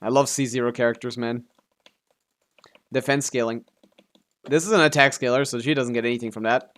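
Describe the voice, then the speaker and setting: Man, game streamer, casual chatty commentary, laid-back, mid-pitched voice. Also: steady tone, fairly quick, fairly bright tone. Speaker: live streamer playing a video game.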